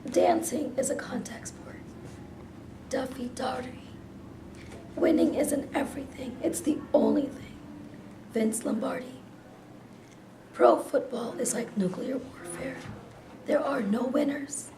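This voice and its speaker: A woman reading quotations and stage directions as scripted narration for a staged play reading. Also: sits high. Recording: echoey room, distant mic, clear noise.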